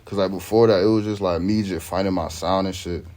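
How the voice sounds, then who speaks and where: A man's voice: deep voice, steady tone, a little fast, darkish tone. A young man from Sweden, a rapper, chatting in a laid-back, slangy way as the interview guest. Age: young adult. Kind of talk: casual interview chat.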